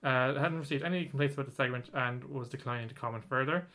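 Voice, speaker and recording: Bright-voiced man, rambling. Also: medium pitch, fast talker. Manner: rambling, many restarts and fillers. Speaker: podcast co-host. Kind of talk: casual chat reading news.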